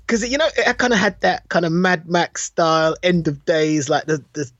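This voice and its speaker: A man in middle age speaks a bit higher than most, in the loose, slangy style of a podcast co-host. He tells things with animation and chats casually. The sound is very dry and slightly dull. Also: moderate pace, fairly bright tone, no echo. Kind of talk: casual chat about films.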